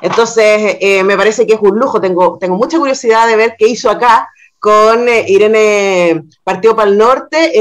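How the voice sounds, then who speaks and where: A woman with a Chilean accent hosting a radio interview in a conversational style. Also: fairly high pitch. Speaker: radio host, journalist.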